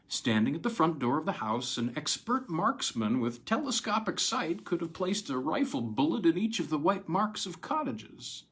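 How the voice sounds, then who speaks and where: A man narrates an old piece of short fiction in a dramatic storytelling style, phrasing deliberately with pauses. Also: medium pitch, fairly bright tone, slightly rough.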